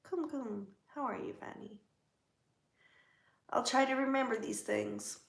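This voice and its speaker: Female livestream host, unhurried and teasing, a bit of vocal fry, roomy home sound. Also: on the high side. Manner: chatty, teasing, talks to viewers' comments. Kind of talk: casual livestream chat.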